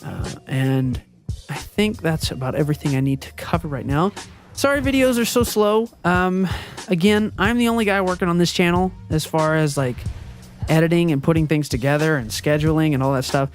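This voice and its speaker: High, boyish-sounding man rambling through updates. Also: moderate pace, faint music behind. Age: adult. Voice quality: high-pitched, youthful-sounding voice. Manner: rambling, hesitant, many ums and self-corrections. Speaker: channel owner and video editor. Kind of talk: casual channel update monologue. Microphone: voiceover recorded before the gameplay footage.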